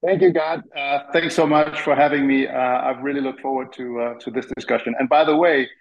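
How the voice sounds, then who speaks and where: A man's voice: mid-range voice, steady tone, brisk, muffled recording. A man from Denmark, a guest on an interview show who talks in an earnest, articulate way with frequent fillers. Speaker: interview guest, free speech lawyer. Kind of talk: interview conversation.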